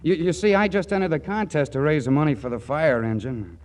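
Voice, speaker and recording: A man plays a contented small-town young fellow with a fairly high voice. He is artless, folksy and a little hesitant, in the manner of vintage 1940s radio comedy. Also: a little fast, darkish tone, close to an everyday voice. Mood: sheepish, puzzled.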